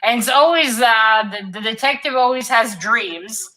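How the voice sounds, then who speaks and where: A woman with a bright, forward voice sitting a little high in pitch co-hosts a book stream. Her delivery is informal and conversational, with a joking streak. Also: lively intonation, a little slow, poor audio. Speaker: co-host of a literary read-along stream. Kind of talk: casual book discussion on a live stream.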